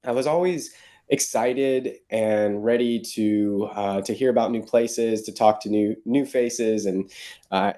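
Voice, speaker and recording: A man's voice: on the low side, warm tone. A man who grew up in Ukraine, a novelist guest on a podcast, telling stories with enthusiasm amid frequent fillers and restarts. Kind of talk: casual interview.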